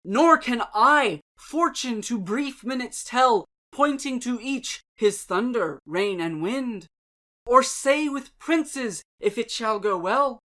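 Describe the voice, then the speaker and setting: A woman giving a dramatic reading of poetry and prose in a measured, deliberate, theatrical way. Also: a little high, expressive, bright, forceful tone. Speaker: channel host and reader.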